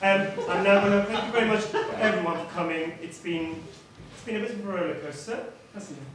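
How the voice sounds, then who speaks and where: A man's voice: high-pitched, fast, over music. A man giving an unscripted wedding toast as the groom, self-deprecating and laughing between remarks. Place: wedding reception hall with guests. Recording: echoey hall, captured from far off over a loud crowd.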